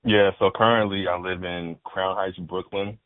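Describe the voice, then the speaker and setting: A man with a very low voice talks loosely and profanely, stumbling over repeated words when he gets fired up. He sounds thin and phone-like, with no room around him at all. He comes across as a podcast guest in casual chat. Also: steady tone, normal speed, no room sound. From Fort Worth, Texas. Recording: dry, phone-like band.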